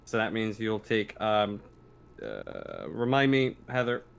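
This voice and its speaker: A man running a tabletop roleplaying game, improvising narration and rules in a halting, repetitive way. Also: fairly low pitch, wildly swooping pitch, creaky, smooth. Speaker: game master.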